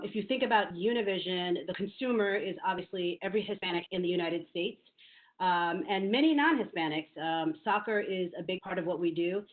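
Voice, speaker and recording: A middle-aged woman from New York City, a marketing executive speaking in a virtual interview, measured and thoughtful, with frequent ums. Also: lowish voice. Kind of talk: virtual interview, career talk. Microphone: video call. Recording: phone-like call audio.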